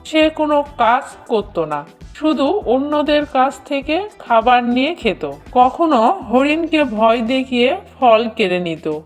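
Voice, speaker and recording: Lady reading a kids cartoon tale, slow n expressive, music underneath. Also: fairly high pitch. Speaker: cartoon storyteller. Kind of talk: scripted children's story narration. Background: background music.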